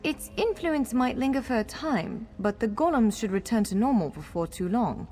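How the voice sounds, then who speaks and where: A woman playing a spirited warrior guide in a video game, brisk and encouraging but candidly wary. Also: fairly high pitch, expressive, a little mellow, close to an everyday voice. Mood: wary, explanatory. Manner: brisk, encouraging, urgent in combat. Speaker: game companion and tutorial guide. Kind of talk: scripted video game dialogue. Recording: long hall reverb, clear music behind. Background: game music.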